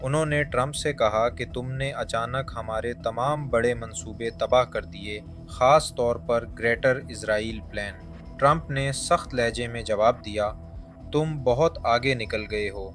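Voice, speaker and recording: A man's voice: medium pitch, measured pace, a bit bright. A man presenting a scripted news narration in formal Urdu diction. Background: background music.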